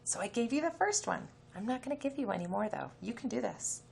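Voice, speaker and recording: A woman's voice: fairly high pitch, animated, fast talker, vocal fry, darkish tone. A woman teacher giving a reading lesson, explaining instructions to children, sounding out letters and reading a passage aloud. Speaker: elementary teacher.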